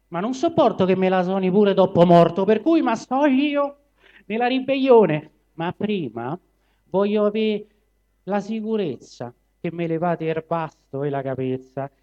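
A put-upon laboring donkey played by a man grumbles in recited verse, high and emphatic, with a Roman accent. The sound is a little dull, from a live recording. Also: dark tone, natural voice. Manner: complaining, emphatic declamation. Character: aggrieved overworked male donkey, grumbling laborer.

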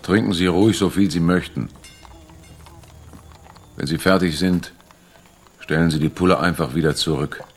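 This is a lean, middle-aged shopkeeper in a radio drama. His voice is extremely low, and he talks in short, obliging phrases without any fuss. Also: steady tone, moderate pace, pauses often, breathy edge. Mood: calm, obliging.

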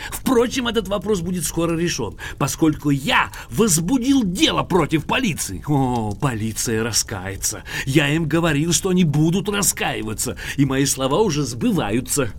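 A pompous, litigious old gentleman in an audio drama. His voice sits rather high and swings wildly up and down, with a gravelly creak. He is boastful, excitable and theatrical in everything he says. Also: moderate pace, hardly any pauses, exaggerated voice. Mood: proud, indignant. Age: older. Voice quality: creaky, husky.

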